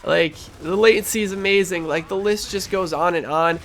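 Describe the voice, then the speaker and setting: A young man commentating over his gameplay in a casual, rambling, enthusiastic way, sounding stuffy and a little hoarse. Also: sits high, moderate pace, fairly bright tone, a little hiss or hum, low-quality recording. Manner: rambling, enthusiastic, lots of fillers and restarts. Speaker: gaming channel host.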